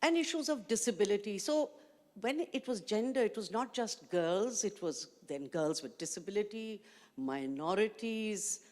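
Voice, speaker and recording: A woman's voice: medium pitch, animated, moderate pace, a little mellow. A middle-aged woman from Pakistan whose first language is Urdu, speaking as a panelist in a panel discussion with reflective storytelling. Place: large conference hall stage. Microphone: handheld mic. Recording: long hall reverb.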